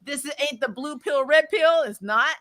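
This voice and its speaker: A woman's voice: high-pitched, unhurried, a crowd in the background. A woman co-hosting a casual review livestream, chatting and reading out comments in a teasing way, often laughing. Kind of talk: casual chat, reading out live viewer comments.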